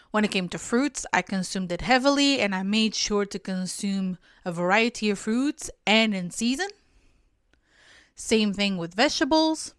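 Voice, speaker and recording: A woman's voice: mid-range voice, a bit bright, clean tone, no room sound, clean studio sound. A young woman from Portugal hosting a solo podcast, giving friendly, instructive advice and sometimes correcting herself mid-sentence. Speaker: podcast host.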